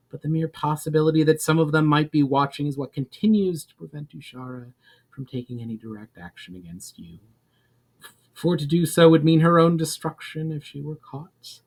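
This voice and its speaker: A man voicing a wise, mysterious woman guide in a fantasy story, explaining in a formal, measured manner. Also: fairly high pitch, a little mellow, poor audio. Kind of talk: fantasy audiobook dialogue.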